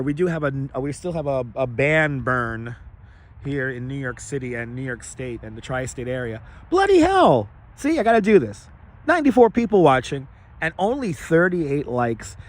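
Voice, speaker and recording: Guy doing a walk livestream, raspy kinda squeaky hoarse voice on the high side, chatty n repeats himself, street noise outside. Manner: rambling, repeats phrases, greets viewers by turns. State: hoarse from overuse. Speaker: livestream host. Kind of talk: casual walking livestream chat. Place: outdoors in a city park, walking. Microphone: wireless clip-on lavalier mic. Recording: clear outdoor ambient and traffic noise.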